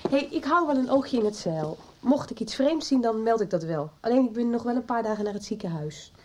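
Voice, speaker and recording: A woman's voice: fairly high pitch, animated, a little fast, a little mellow. A woman acting a helpful, matter-of-fact neighbour in a radio soap. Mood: helpful, calm.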